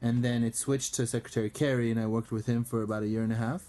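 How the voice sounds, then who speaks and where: A man born in Armenia, whose first language is Armenian, speaks as a guest in a casual interview. His voice sits at an ordinary middle pitch, and he explains at length in a fluent, steady way, filling pauses with ums and uhs. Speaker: guest, diplomat.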